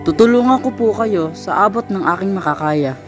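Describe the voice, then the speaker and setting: A man's voice: high voice, expressive, a little fast, music in the background. A young man acting as a polite friend who secretly plots revenge, in an amateur student radio drama. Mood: polite, somber. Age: young adult.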